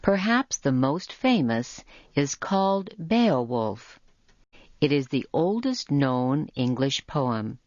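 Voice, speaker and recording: A woman reading a scripted educational narration for English learners, with deliberate phrasing and careful enunciation. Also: extremely low pitch, big pitch swings, a little mellow, no room sound. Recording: muffled lo-fi audio.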